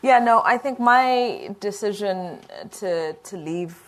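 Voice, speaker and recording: A middle-aged woman from Ethiopia, a company founder answering questions on a panel in a relaxed, reflective way. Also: mid-range voice, takes it slowly.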